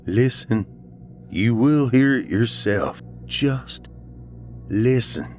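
A man's voice: medium pitch, expressive, slow, soft background music, pitched above the performer's own voice. A man playing a distraught, paranoid friend in a horror story, speaking in an intense, insistent and pleading way. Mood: urgent pleading. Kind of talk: audiobook character dialogue, horror story.

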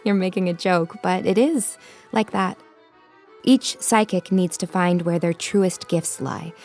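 An actress playing a young tarot-reading psychic who is confident and teasing. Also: female voice, on the low side, measured pace, darkish tone, faint music behind. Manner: teasing, dry, self-assured. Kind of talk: comedic audio drama.

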